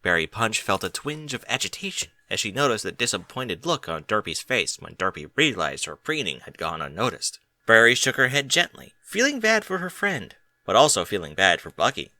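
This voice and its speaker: Bright, expressive man narrating a story. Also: on the low side, normal speed, dry recording. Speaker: audiobook reader of fan fiction.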